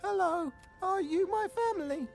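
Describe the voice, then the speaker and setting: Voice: very high-pitched, moderate pace, faint music behind. A performer with a feminine-sounding voice playing a tiny, innocent newborn penguin in a cartoon, naive and hopeful. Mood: innocent, curious.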